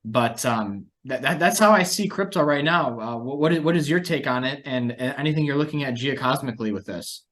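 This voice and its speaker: Guy hosting a markets podcast, normal mid pitch voice, measured, kinda thinking out loud while he talks. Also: lively intonation. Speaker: host of a market analysis podcast. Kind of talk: conversational financial market commentary.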